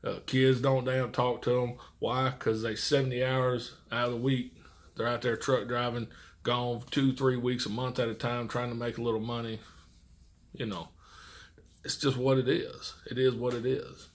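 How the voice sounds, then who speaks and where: A man's voice: medium pitch, level tone, moderate pace. An American man who works as a truck driver, giving casual, rambling tips in blunt, profane, folksy vernacular. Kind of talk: casual rambling vlog monologue.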